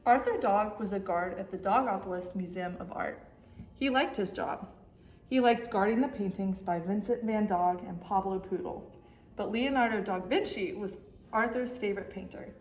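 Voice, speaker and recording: A woman narrates a children's picture book with expression. Her pitch sits in the middle range, and the room around her voice echoes. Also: normal speed, a little mellow, faint music behind. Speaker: story time reader. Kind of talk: expressive children's storybook reading.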